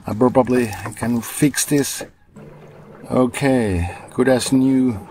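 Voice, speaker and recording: A man's voice: medium pitch, fairly even intonation, slow pace, darkish tone, slight background noise, rough recording. A middle-aged man narrating a fishing vlog casually, in an understated, dryly humorous way. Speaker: fishing vlogger.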